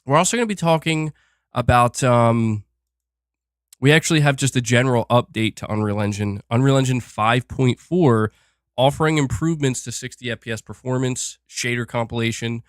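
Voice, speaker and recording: A man's voice: mid-range voice, moderate pace, no room sound, clean studio sound. A man hosting a gaming livestream, chatting casually while sick and a bit nasal, rambling with fillers and restarts. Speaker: gaming channel host.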